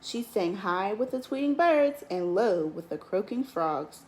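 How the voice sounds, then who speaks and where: A woman teacher reading a picture book aloud in an expressive, lilting storytelling manner. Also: mid-range voice, unhurried. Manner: expressive, lilting storytelling with lifts on key words.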